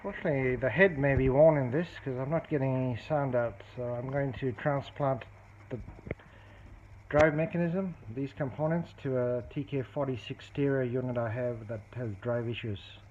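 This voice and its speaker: A man with a mellow, relaxed voice talks through a hands-on repair like a hobbyist. His delivery is unhurried and matter-of-fact. The sound is dull and lo-fi with faint noise, picked up by a camera at the workbench. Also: medium pitch. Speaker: hobbyist repairer demonstrating a restoration. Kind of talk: casual hands-on demonstration narration.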